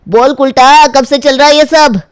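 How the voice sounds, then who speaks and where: A woman's voice: high voice, fairly even intonation, normal speed, lightly acted. A woman playing a cruel, domineering village in-law in a melodramatic audio story, accusing and commanding with open scorn.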